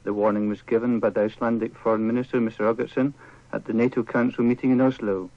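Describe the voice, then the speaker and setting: A man's voice: medium pitch, level tone, fast, dry recording. A British man reading the radio news formally. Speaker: radio newsreader. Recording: dull, dry old radio broadcast.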